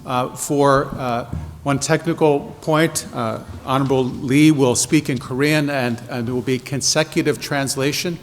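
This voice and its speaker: A man moderating a forum, introducing the next speaker formally and pausing often on fillers. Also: mid-range voice, steady tone. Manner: measured, with frequent filler pauses. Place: large conference hall. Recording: conference hall reverb, faint room noise.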